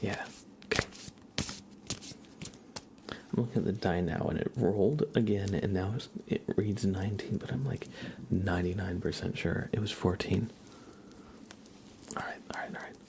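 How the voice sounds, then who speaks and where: A man hosting an ASMR livestream, giving card readings in a gentle, halting way as he thinks aloud. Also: low-pitched, vocal fry, a little hiss or hum. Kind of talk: whispered ASMR, casual tarot card reading.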